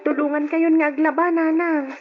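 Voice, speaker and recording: An actress playing a helpful, pregnant young wife in an old radio drama, speaking eagerly and politely. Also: female voice, high-pitched, little pitch movement, normal speed, soft background music, natural voice. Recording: dull old radio recording.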